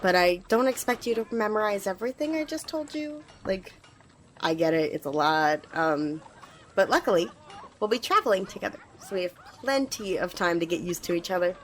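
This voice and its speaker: A woman's voice: medium pitch, expressive, moderate pace, natural voice. Earnest, chatty squire voiced by a woman, noisy ambience. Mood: friendly, reassuring. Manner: hesitant, rambling, earnest, reassuring. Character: eager, earnest, nervously chatty young squire with magic. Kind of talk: ASMR roleplay addressed to the listener.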